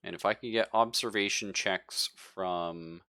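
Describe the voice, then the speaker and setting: A man's voice: low-pitched, steady tone, a little slow, bright, forceful tone, clear, resonant tone. A man narrating a tabletop roleplaying game as game master, casual and joking as he improvises.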